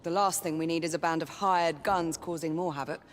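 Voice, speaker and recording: A woman's voice: low voice, fairly even intonation, brisk, close to an everyday voice. Confident woman knight, played by an actress, faint noise. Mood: teasing. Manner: crisp commands, sardonic banter. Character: confident female knight, brisk, teasing and commanding. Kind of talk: dramatic video game acting.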